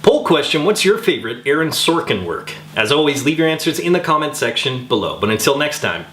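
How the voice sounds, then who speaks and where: A man's voice: a little high, very animated, a little fast, a bit bright, slight background noise. A man delivering scripted film review commentary as a host, with a wry, opinionated, emphatic manner. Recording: roomy, echoing sound.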